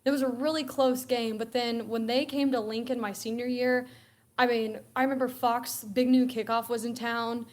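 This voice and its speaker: A woman's voice: on the high side, steady tone, moderate pace, darkish tone. A young woman from Alabama, guest on a sports talk show, chatting casually and laughing often. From Alabama, USA. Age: young adult. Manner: chatty, laughs often, acts out quoted exchanges. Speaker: guest co-host.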